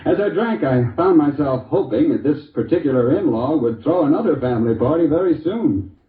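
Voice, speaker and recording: An actor playing a genial, wry husband who tells a folksy, hesitant story in an old-time radio commercial. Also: male voice, on the high side, lively intonation, fairly quick, far from the mic, natural voice. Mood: pleased. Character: genial, wry husband telling beer testimonial. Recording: muffled, echoey old radio recording.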